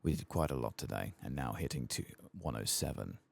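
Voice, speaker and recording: Man, extremely low pitch, husky, soft-spoken ASMR game commentary, muttering, unhurried. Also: animated. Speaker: game streamer and host.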